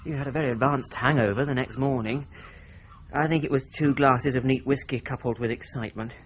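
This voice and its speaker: A man's voice: mid-range voice, fairly even intonation, fairly quick, highs cut off, a little hiss or hum, lightly acted. An actor playing a thoughtful, wry British office man in a radio drama, with a conversational manner.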